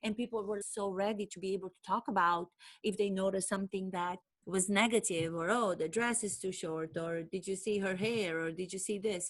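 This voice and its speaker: Romanian-born woman telling a story to camera, middle pitch, animated, acts out her thoughts. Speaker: actor and acting coach.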